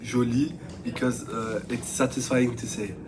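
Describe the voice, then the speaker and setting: A man's voice: mid-range voice, fairly even intonation, unhurried. A teenage boy from France giving plain, brief answers with some hesitation. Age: teens. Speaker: student answering interview questions. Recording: noisy background.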